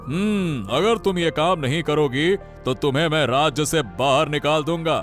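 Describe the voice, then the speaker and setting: A man voicing a commanding, pompous king with a deliberate, authoritative manner in a Hindi cartoon story. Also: on the high side, a bit bright, faint music behind, lightly acted. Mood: stern, threatening. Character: commanding, imperious king giving orders to subjects.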